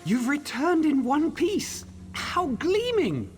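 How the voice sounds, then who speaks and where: A man playing an eager, scholarly researcher of clockwork machines in a video game, with an expressive, explanatory manner. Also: very high voice, slow pace, full character voice. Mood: excited, instructive. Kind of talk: video game character dialogue.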